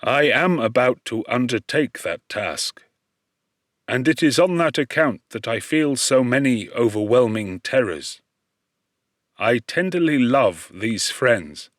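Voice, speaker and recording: A man's voice: medium pitch, animated, takes it slowly, fairly bright tone, no room sound, clean studio sound. A man voicing a lonely, humble outcast creature in an audiobook, speaking formally with anxious, pleading earnestness. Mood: earnest, troubled. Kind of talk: audiobook character voice.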